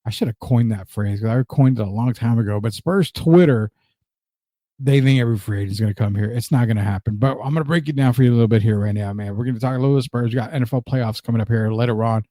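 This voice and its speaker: A man's voice: mid-range voice, fast talker, warm tone, dry recording. A man from Rico, Spanish speaker, hosting a casual sports talk show and driving his points home by repeating them. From Puerto Rico. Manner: emphatic, repeats his points, reads out viewer comments.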